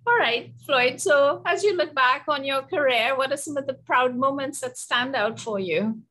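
High-voiced Trinidadian woman hosting an interview. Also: moderate pace, pushed and bright. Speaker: interview host, professor. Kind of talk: conversational interviewing. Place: indoor room, seated in an armchair. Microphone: video call.

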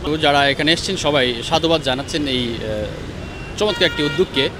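A man reporting on location at a petrol station with a handheld mic, talking at length with many hesitant pauses. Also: fairly high pitch, animated. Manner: wordy, with frequent hesitations. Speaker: field reporter. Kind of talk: on-the-spot news report. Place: outdoors at a busy roadside petrol station. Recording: traffic noise outdoors.

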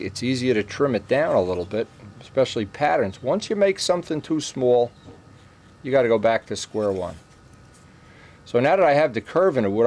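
A man's voice: mid-range voice, a little mellow. A middle-aged man giving an informal hands-on workshop tutorial, thinking aloud in short, explanatory phrases. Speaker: model airplane builder giving a tutorial. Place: indoor workbench.

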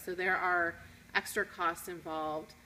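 Bright-voiced woman explaining in a warehouse. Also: fairly low pitch, steady tone, measured pace, a little hiss or hum. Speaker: collections manager. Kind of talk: interview. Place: warehouse indoors.

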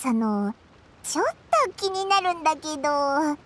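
A woman in a Japanese anime game voicing a tiny, childlike companion sprite, squeaky, blunt and inquisitive. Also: lively intonation, measured pace. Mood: curious. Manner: blunt boyish phrasing, inquisitive. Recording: music playing behind the voice.

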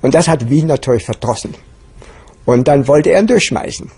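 A man's voice: mid-range voice, animated, normal speed, dark tone, slightly rough. A man telling an anecdote off the cuff as an interviewee.

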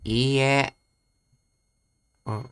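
A man casually thinking aloud as he studies a language on a livestream, halting and repeating words. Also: medium pitch, fairly even intonation, clear, resonant tone. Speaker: streamer learning Japanese.

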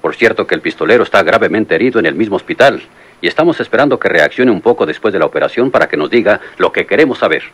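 A husky, insistent police lieutenant. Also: male voice, lowish voice, lively intonation, quick pace, fairly bright tone. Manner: earnest, insistent. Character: earnest, persistent male police lieutenant. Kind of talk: 1960s radio drama acting.

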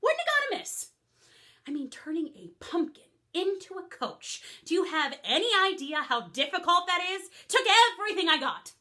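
A woman's voice: extremely high pitch, sing-song, moderate pace, bright, forceful tone, pitched above the performer's own voice, heavily acted. A woman playing a put-upon fairy godmother who complains chattily and indignantly in a comic monologue. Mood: aggrieved. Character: grumbling middle-aged female fairy godmother, put-upon.

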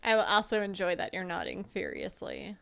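A woman's voice: mid-range voice, wildly swooping pitch, brisk, vocal fry, a bit bright. A woman playing a tabletop game, chatting casually and playfully in character. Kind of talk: casual playful roleplay chat.

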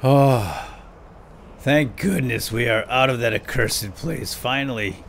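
Guy doing roleplay let's play, slow pace but really animated, kinda hammy, noisy game sounds behind him. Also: mid-range voice. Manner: theatrical, playful, talks to his in-game companion. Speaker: gaming video host. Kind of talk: lightly role-played let's play commentary. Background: game ambience and effects.

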